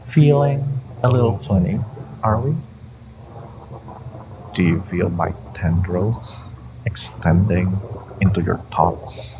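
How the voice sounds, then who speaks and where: A low, unhurried male monster played by a man interrogates the listener in an audio roleplay. He is gravelly and theatrical, toying with his prey in a mocking, menacing way. A faint layer of noise sits behind the voice. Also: exaggerated voice. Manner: theatrical, taunting, drawn-out phrasing with pauses and whispers.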